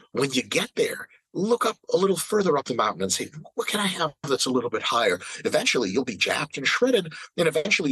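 A man's voice: mid-range voice, a bit bright, slightly rough, dry recording. A man in his late thirties in casual podcast chat, ranting with emphatic, profane energy. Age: 30s. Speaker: fitness podcast regular.

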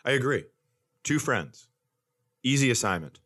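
A man's voice: mid-range voice, expressive, unhurried, a bit bright. A man co-hosting a comedy podcast in casual chat, with a dry, teasing way of talking. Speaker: podcast co-host.

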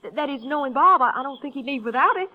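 A woman's voice: high voice, animated, fast, phone-quality sound. An actress playing a nervous, insincere young woman in a 1950s radio western, flustered, stammering and pleading.

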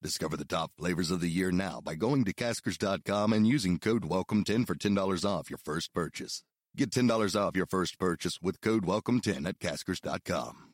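Very deep-voiced man reading an ad. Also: a little fast, no room sound, studio quality. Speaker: ad announcer. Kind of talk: scripted ad read.

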